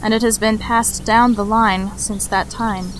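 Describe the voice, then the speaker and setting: A woman performs an ancient-blooded older sorceress in a fantasy audio drama. She is patient and cryptic, with a formal, solemn delivery at a middling pitch. Light ambient noise sits behind the voice. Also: steady tone, unhurried, natural voice, low-quality recording. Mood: grave, knowing.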